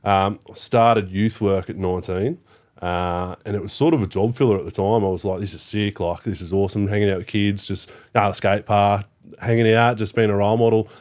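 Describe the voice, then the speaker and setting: This is an Australian man in his thirties with a fairly low voice. He chats in a relaxed way as a podcast guest, thinking aloud with plenty of fillers. His swearing is frequent and offhand. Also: fairly quick. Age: 30s. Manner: laid-back, swears freely, many fillers. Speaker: podcast guest, youth worker. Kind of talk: casual interview chat.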